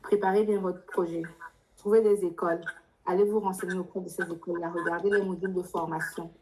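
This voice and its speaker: A woman with a fairly low voice works as an adviser in a live question-and-answer workshop. She speaks spontaneously in a directive, insistent way and often puts probing questions. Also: flat delivery, fairly quick, a little mellow, rough recording. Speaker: adviser leading a workshop for international students.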